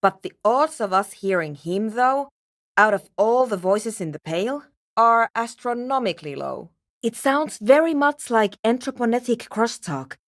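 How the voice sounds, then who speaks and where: An actress playing a cool, scientifically curious young woman programmer in a video game, speaking in a measured, technical, dryly formal way. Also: on the high side, animated, dry recording, studio quality. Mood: intrigued, analytical. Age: young adult. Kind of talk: video game character voice acting.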